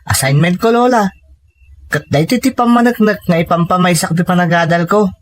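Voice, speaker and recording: A man's voice: sits high, fairly quick, natural voice. A man playing an earnest, respectful young student in an Ilocano audio drama. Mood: reassuring. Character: Hector, young male student, respectful and reassuring to his grandmother.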